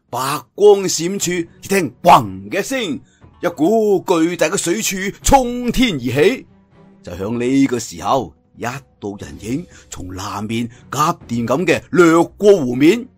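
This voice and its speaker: Animated Cantonese male storyteller. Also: a little high, slightly rough. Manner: dramatic, with exclamations and conversational asides.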